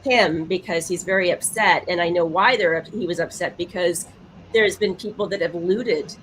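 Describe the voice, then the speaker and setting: Female co-host, slightly low voice, emphatic conversational delivery, faint noise behind her. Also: moderate pace, fairly bright tone, rough recording. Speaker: podcast co-host. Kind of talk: casual chat.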